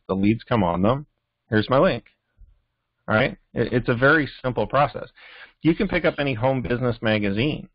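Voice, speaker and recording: A muffled, low-fidelity recording with a dry sound carries a man's mid-pitched voice. He talks like a team trainer on a coaching call, informal and instructive. He often stops to check that listeners are following. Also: no room sound. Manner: instructive, repeatedly checking in with "okay?". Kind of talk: informal sales training talk. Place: live team conference call.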